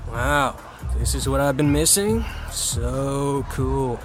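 A man's voice: medium pitch, lively intonation, slow pace. An actor playing an earnest, self-doubting college freshman rower with an American accent, in a scripted audio drama. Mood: casual, unsure. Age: young adult. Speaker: narrator and lead character. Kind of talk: scripted audio drama, first-person narration and dialogue. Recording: music behind the voice.

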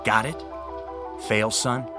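A man's voice: on the low side, fairly even intonation, slow pace, sharp tone, faint music behind. An actor playing an arrogant, domineering young male college rowing captain in an audio drama, commanding and projecting. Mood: contemptuous, bullying. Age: young adult. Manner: pompous, commanding, projected speech. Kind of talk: scripted audio drama acting.

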